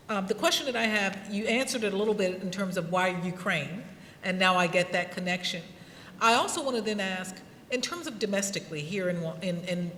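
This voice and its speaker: A woman's voice: fairly low pitch, fairly bright tone. Woman judge in an echoey hall. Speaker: competition judge. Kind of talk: encouraging feedback and questions. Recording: echoey hall, faint noise.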